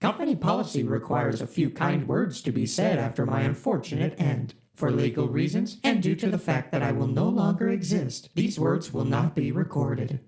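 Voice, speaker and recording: A chipper spaceship computer played by an actor reads out company prompts in a formal announcer manner. Its pitch swings lively up and down. The voice sits in a roomy, echoing space. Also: moderate pace, few pauses, close to an everyday voice. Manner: formal, scripted announcer delivery. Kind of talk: audio drama acting. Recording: roomy echo.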